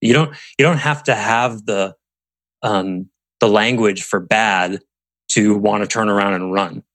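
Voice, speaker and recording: A man's voice: lowish voice, no echo. A man hosting a podcast discussion, musing aloud and posing rhetorical questions to the group. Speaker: podcast host.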